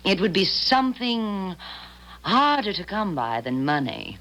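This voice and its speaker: An actress in a 1970s radio drama playing a sly, poised woman who teases with smooth, deliberate condescension. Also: medium pitch, sing-song, fairly bright tone. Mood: teasing, menacing. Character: sly, poised femme fatale needling a jealous wife.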